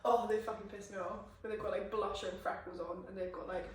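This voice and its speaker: A young woman talks quickly through a casual podcast chat and drops swear words without effort. She sits far from the mic in a very echoey room, and her words run together fast. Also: medium pitch, flat delivery. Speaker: podcast co-host.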